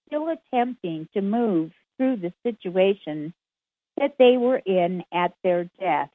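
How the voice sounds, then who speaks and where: A woman channeling a message in a measured, formal way of speaking. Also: mid-range voice, no room sound. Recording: tinny dry phone line.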